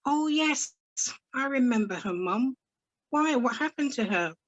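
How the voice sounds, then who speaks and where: A woman voicing a grown daughter who answers her mother in a measured, defensive way, trying to sound brave. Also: high voice, expressive, highs cut off, voice pushed up.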